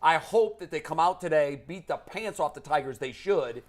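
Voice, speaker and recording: High-pitched American man talking sports. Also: animated, normal speed. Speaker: sports talk show host. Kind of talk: casual sports debate.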